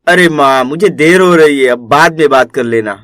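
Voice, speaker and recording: A man voicing a humble, kind, earnest young rickshaw driver in an animated moral story. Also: fairly high pitch, normal speed, no room sound, clean studio sound, natural voice. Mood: hurried. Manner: earnest, considerate.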